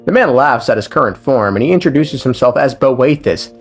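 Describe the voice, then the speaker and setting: A man's voice: mid-range voice, expressive, fairly quick. A man narrating a story recap of game lore as casual commentary, irreverent and slangy, swearing freely. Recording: music under the voice. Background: background music.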